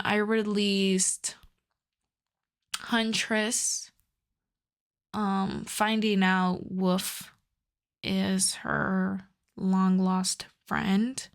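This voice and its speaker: A woman talks casually to her listeners as host of an ASMR channel. Her pitch is mid-range for a woman and her pace is slow.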